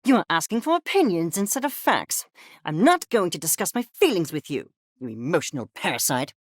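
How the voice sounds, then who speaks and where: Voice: medium pitch, big pitch swings, a little fast, dry recording, clean studio sound. An actor, sounding female, voicing an arrogant, obsessed mad-scientist creature in a video game, with pompous, clinical, precise diction. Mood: scornful. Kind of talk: theatrical game character acting.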